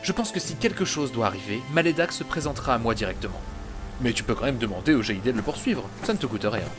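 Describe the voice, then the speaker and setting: An actor playing a cocky, blasé, ageless male adventurer with a deadpan, sardonic delivery in an audio drama. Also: medium pitch, quick pace, over music, natural voice.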